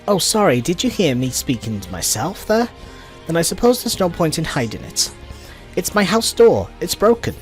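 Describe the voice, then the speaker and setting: A man's voice: on the high side, very animated, normal speed, faint music behind. A man reading game dialogue aloud as a flustered, embarrassed handy villager, fretful and pleading.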